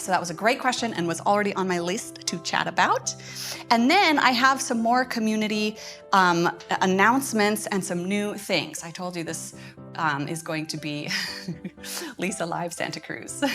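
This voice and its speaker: Chatty lady hosting a casual livestream update, normal pitched voice, faint music behind her. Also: lively intonation, normal speed, a bit bright. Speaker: host, homeschool curriculum creator. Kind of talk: casual live-stream update to members.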